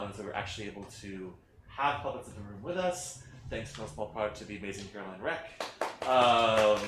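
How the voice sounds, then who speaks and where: Echoey, noisy recording of a low-voiced man. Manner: halting, filler-heavy. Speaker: theater host introducing a play reading. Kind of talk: informal spoken introduction. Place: indoor theater space, in person. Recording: echoey room with loud background noise.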